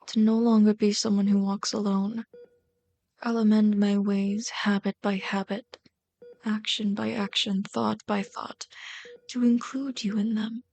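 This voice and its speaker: A woman's voice: mid-range voice, flat delivery, darkish tone, close to an everyday voice. A woman playing an obsessive, lovelorn villain in an ASMR roleplay, bitter and pleading, speaking intimately and dramatically with frequent drops toward a whisper. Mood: devoted, obsessive. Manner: slow, intimate, dramatic delivery, often dropping to a whisper.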